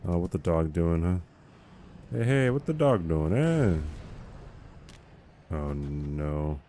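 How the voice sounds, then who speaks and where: Deep, calm-voiced man streaming a game. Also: slow pace, a little hiss or hum. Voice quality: low calm radio-type voice. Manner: joking, rambling, many fillers. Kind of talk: casual live-stream chatter.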